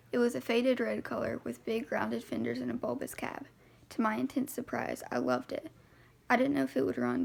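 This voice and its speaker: Very creaky woman reading a novel aloud. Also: a little high, darkish tone. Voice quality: creaky voice with vocal fry. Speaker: amateur audiobook reader. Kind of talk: scripted first-person novel narration, read aloud.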